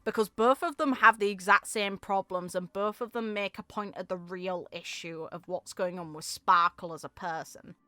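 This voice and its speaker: A woman's voice: medium pitch, normal speed, hardly any pauses, sharp tone, no room sound, studio quality. A woman giving casual, reflective commentary on a video game in an informal, opinionated way.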